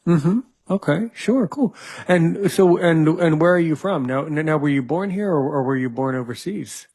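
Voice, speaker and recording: A man's voice: a little high, moderate pace, darkish tone. A man in his thirties hosting a casual interview, hesitant and often repeating words as he thinks. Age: 30s. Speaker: host.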